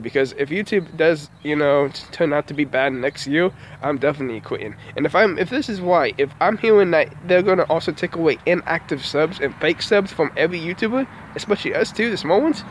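A man's voice: on the high side, a little hiss or hum. A man in his twenties, a small vlogger, ranting in a frustrated, dejected way, recorded outdoors beside a house on a phone held at arm's length. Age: 20s. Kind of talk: casual vlog rant.

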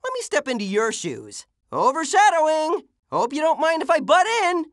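A man's voice: extremely high pitch, huge pitch swings, moderate pace, a bit bright, no echo, clean studio sound, heavily acted. A man voicing a plucky, wisecracking teenage half-ghost boy superhero with a youthful voice for a video game. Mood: cocky. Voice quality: youthful, boyish. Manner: exclamatory, wisecracking. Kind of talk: video game character voice clips.

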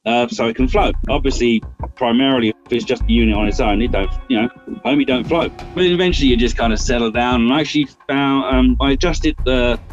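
An older man telling a sailing story in a lively, casual way, swearing and acting out his own reactions, recorded over a video call. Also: mid-range voice, brisk, soft background music. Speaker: guest sailor. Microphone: video call webcam. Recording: dull lo-fi video call audio.

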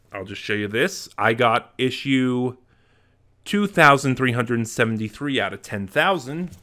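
A young man with a bright, clear-edged voice talks his way through a casual unboxing vlog. He sounds enthusiastic and animated, gesturing as he speaks. He is recorded on a desk mic in a small room at home. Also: mid-range voice. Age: young adult. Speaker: vlogger. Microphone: desk mic in front of webcam.

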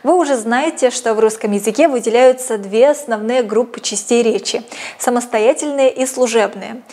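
A young woman presenting a scripted educational lesson in a clear, measured teacherly way. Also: fairly high pitch, mellow. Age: young adult. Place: small indoor studio set, seated. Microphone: lapel mic.

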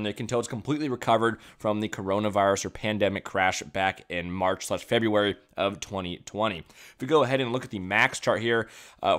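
A man with a stuffy nose talking through financial charts in a casual commentary, quick and loose, with fillers and self-corrections. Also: on the low side, fairly bright tone. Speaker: stock analysis YouTuber. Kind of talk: casual screen-share commentary.

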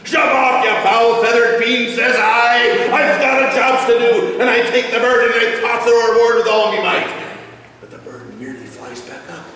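A middle-aged man playing a salty, boastful pirate sailor, spinning a tall tale on stage in a hammy, drawn-out pirate dialect with a gravelly voice. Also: very high-pitched, sharp tone, pitched above the performer's own voice. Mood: exasperated, dramatic. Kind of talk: theatrical comic storytelling on stage. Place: small indoor stage before seated audience. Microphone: far camera. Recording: echoey hall, distant camera microphone.

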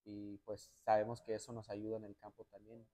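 A young man from the United States, a footballer answering interview questions in a hotel lounge, halting and restarting often and slipping English words into his replies. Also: fairly low pitch, completely flat delivery, warm tone. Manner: halting, many restarts and "me entiendes" tags, mixes in English words. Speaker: national team footballer, interview guest. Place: hotel lounge sofa, indoors.

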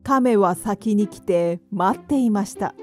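Slow-paced woman narrating a children's fairy tale. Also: medium pitch, darkish tone. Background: music.

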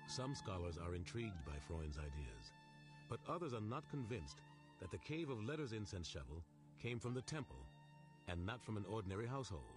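A man reading scripted documentary narration in a measured, storytelling way. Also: on the low side, very animated. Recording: narration over loud music.

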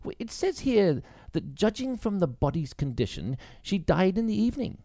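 A man's voice: sits high, very animated, normal speed, warm tone, no room sound, voice pushed up. A man voicing an earnest, questioning young country policewoman in a mystery story reading. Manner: earnest, inquiring.

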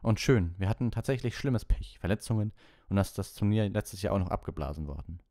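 A man's voice: lowish voice, animated, brisk, dark tone, no echo, studio quality, voice pushed up. A man voicing an intense, desperate schoolboy team captain in an audiobook, with emphatic, stressed phrases. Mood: grimly determined. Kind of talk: audiobook character voice.

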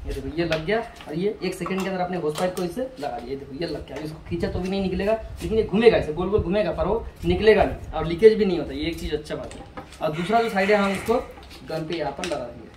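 A man's voice: on the high side, quick pace. A man casually presenting a product unboxing, informal and repetitive as he works, recorded outdoors on a porch from a camera a few metres away. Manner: informal, hands-on, repeating himself while handling items. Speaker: product reviewer. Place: outdoor porch by house steps. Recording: roomy, distant mic, clearly noisy, clicks.

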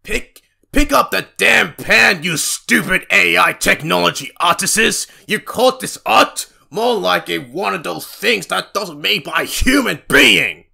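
Animated, creaky cartoon voice actor. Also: mid-range voice, a little slow. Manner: theatrical, exaggerated cartoon delivery. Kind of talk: voice acting audition reel of cartoon skits.

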